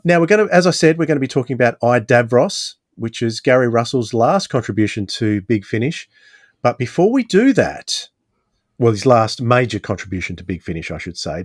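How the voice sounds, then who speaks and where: A man with a slightly low voice talks as a podcast host in a casual, conversational way. The sound is dry and close, with very little room in it. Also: very animated, moderate pace, no echo.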